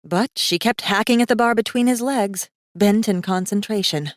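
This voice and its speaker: A woman narrating a fantasy audiobook in a measured, suspenseful manner with deliberate pauses. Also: mid-range voice, dry recording, clean studio sound. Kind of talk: scripted dramatic fiction narration.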